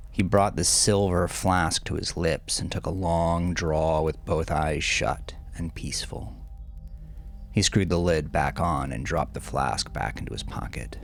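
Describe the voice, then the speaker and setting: A man with a very low, creaky voice reads dark fiction in a dramatic audiobook style. He takes his time, weighing each phrase with brooding deliberation, and often lets the ends sink toward breath. Soft music sits faintly behind him. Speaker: novelist reading own novel, podcast host. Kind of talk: dramatic scripted audiobook narration. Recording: faint music bed under voice.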